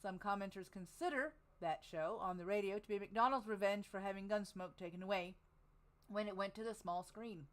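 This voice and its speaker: A woman's voice: mid-range voice, moderate pace. A woman podcast host reading a biography aloud in a casual way, laughing off her own slips.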